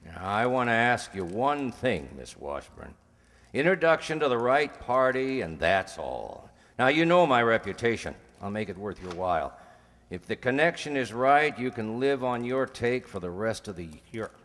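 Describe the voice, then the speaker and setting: A man acting in an old-time radio crime drama as a polite, persistent drug dealer pitching like a salesman. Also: big pitch swings, moderate pace, fairly bright tone. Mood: insistent, persuasive. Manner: polite, insistent salesman's patter. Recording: long reverb, hall-like room.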